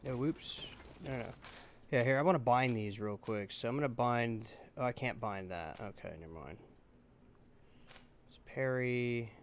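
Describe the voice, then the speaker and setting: A man's voice: medium pitch, normal speed, long pauses, slight background noise. A man giving casual video game playthrough commentary, thinking aloud as he plays. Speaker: gaming video host.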